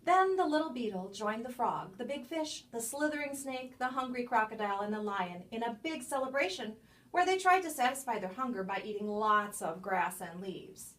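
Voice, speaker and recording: A woman reading a children's picture book aloud as a storyteller, with expressive, emphatic delivery and dramatic pauses. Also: on the high side. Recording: distant microphone.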